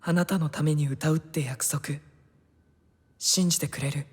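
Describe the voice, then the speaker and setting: A woman playing a gentle young male singer in an audio drama, speaking softly and hesitantly with earnest pleading. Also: low voice, fairly even intonation, measured pace, breathy edge. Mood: soft resolve.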